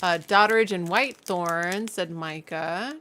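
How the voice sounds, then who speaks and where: A woman's voice: fairly low pitch, takes it slowly, pushed and bright. Casual female co-host, faint background noise. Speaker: co-host of a fan live stream. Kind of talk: casual chat, reading out viewer comments.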